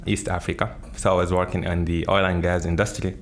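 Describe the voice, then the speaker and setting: A man's voice: deep voice, level tone, brisk, a little hiss or hum. A young man from Sudan with a Sudanese accent, a graduate student talking casually in an interview.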